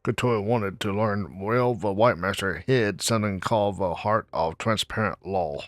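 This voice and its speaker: A man's voice: on the low side, moderate pace, dry recording. A man giving casual commentary while he plays a video game. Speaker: gaming video creator.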